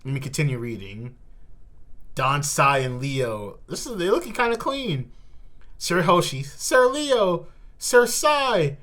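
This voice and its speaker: A host giving an animated, slangy live reaction in casual commentary, repeating words and exclaiming. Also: mid-range voice, takes it slowly. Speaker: host of a manga reaction channel.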